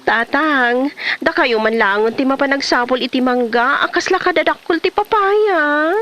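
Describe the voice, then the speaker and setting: A woman's voice: high voice, normal speed, a bit bright. A woman playing a plaintive pregnant wife who is fed up with her lazy husband in a comedy drama. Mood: pleading.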